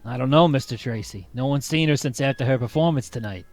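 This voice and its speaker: A man playing a worried, deferential circus boss in an old-time radio play, speaking in a measured, deliberate way. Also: mid-range voice, soft background music, close to an everyday voice. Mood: grave.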